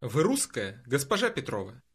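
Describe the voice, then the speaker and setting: A man voicing model phrases in a language lesson, speaking slowly and deliberately. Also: medium pitch, wildly swooping pitch, slightly rough.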